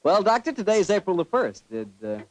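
An actor playing an affable, folksy host who eagerly prompts an old doctor for stories in a 1940s radio drama. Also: male voice, sits high, big pitch swings, brisk, a little mellow. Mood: eager, friendly.

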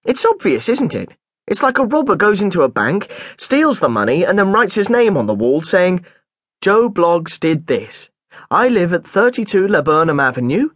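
Man voicing a young schoolboy, defensive, rambling, earnest, husky, lively pitch. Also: normal speed, no room sound, clean studio sound, full character voice. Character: flustered schoolboy protesting his innocence.

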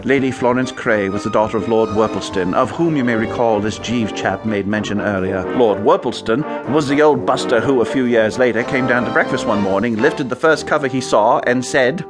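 A man playing a foppish, idle young English gentleman in a radio comedy, with a posh accent and a breezy, chatty, easily flustered manner full of period slang. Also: medium pitch, moderate pace, hardly any pauses, over music, natural voice. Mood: breezy, confiding. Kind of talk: radio comedy acting with first-person narration.

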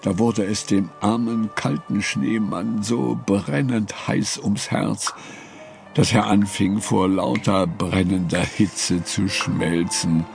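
A man narrating a fairy tale in a calm, storytelling audiobook reading. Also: on the low side. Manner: slow, lively storytelling with gravelly tone. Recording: studio read with clear music bed. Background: music.

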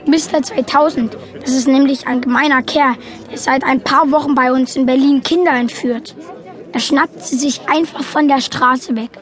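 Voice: high voice, fairly even intonation, normal speed, fairly bright tone, soft background music. An actor playing an earnest, quirky young boy who narrates his story matter-of-factly in a children's audio drama. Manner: matter-of-fact, childlike, explanatory. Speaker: narrator and main character.

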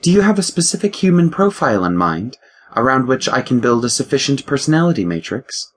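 A man's voice: medium pitch, big pitch swings, a little fast, husky, pitched above the performer's own voice. A man voicing a curious female starship AI. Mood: dry. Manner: formal, precise, dryly sarcastic. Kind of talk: audiobook character voice.

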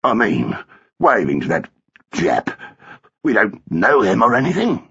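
A man's voice: lowish voice, wildly swooping pitch, measured pace, gravelly, a bit bright, breathy edge, dull audio, exaggerated voice. A man reading a story and voicing a glum, disapproving, stuffy husband who mutters and grumbles reluctantly. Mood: reluctant, pained.

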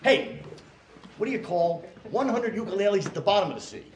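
Man playing an excitable, very high-pitched stage MC. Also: a little fast. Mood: playful. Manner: exclamatory, playful, repeats phrases. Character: excitable comic stage MC in old vaudeville style. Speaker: master of ceremonies. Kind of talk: live vaudeville-style comedy patter. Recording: echoey live hall with audience noise. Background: crowd noise.

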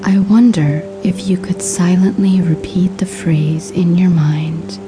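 A woman narrating a scripted guided sleep hypnosis in a soothing, hushed, breathy voice with measured pauses. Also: on the low side, steady tone, over music.

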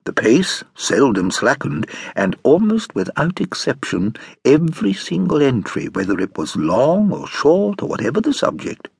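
An actor playing an urbane, amused nephew telling of his uncle, in theatrical British audiobook narration with deliberate, relishing phrasing. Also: medium pitch, creaky, slightly rough, dry recording, studio quality, full character voice.

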